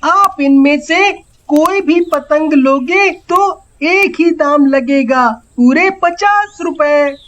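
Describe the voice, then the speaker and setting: A woman's voice: high-pitched, drawn-out words, fairly bright tone, exaggerated voice. A woman voicing an eager, persuasive young village boy in a cartoon moral story, coaxing and earnest. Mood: cheerful.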